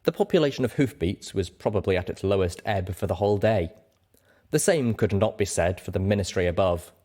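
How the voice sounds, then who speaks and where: A man reading fiction aloud as an audiobook narrator, in an animated storytelling style. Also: low voice, brisk. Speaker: audiobook reader.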